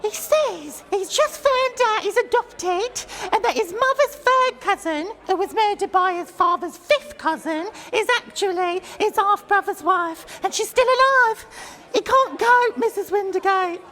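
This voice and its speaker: Squeaky, guileless young barmaid. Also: female voice, measured pace, a bit bright. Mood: earnest. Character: giggly, guileless young barmaid serving pub snacks. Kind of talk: comic radio play acting. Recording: hall reverb, crowd behind.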